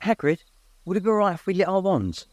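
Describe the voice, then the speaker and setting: A man voicing an anxious, clever teenage girl who speaks quietly and tentatively, well above his own pitch. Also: big pitch swings, fast talker. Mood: worried.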